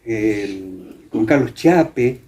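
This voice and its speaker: An Argentine man recounting history conversationally as an interview guest, halting now and then as he searches for names. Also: medium pitch, lively intonation, dark tone. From Entre Ríos, Argentina. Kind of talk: conversational storytelling. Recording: muffled, clear background noise.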